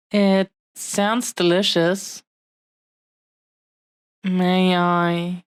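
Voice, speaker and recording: A woman playing an affectionate, possessive dragon-blooded fiancée in an intimate roleplay audio drama, speaking earnestly and haltingly with long pauses to the listener. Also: medium pitch, clean tone, dry recording. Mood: affectionate, gentle.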